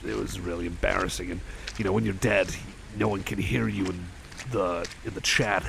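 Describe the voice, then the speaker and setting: A man's voice: lowish voice, gravelly, noisy background. A man playing a shy, friendly cyborg companion in an ASMR roleplay, rambling casually with hesitant, self-deprecating stammers and an eagerness to please.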